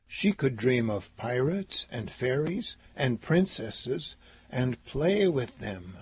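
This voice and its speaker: A man's voice: mid-range voice, sing-song, takes it slowly, breathy edge, no echo. A man narrating a children's story as a read-aloud. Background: music.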